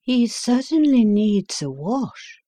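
A woman reading the part of a calm, brilliant detective, measured, courteous and composed. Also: a little high, no echo, voice pushed up, exaggerated voice. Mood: wry. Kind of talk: audiobook character reading.

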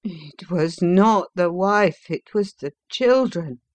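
A woman reads a shamed gentleman caught out, pleading with raw anguish in a voice pushed higher and louder than her narration. The storybook reading is slow and sits in a dry, close recording. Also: dry recording, lightly acted. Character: shamed middle-aged gentleman, desperate and pleading. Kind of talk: audiobook character reading.

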